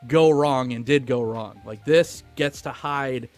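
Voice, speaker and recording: A man's voice: medium pitch, measured pace, dry recording. A man with a mid-range voice speaks as a podcast co-host. His delivery is casual film talk, informal and slangy, and faint music sits behind his voice.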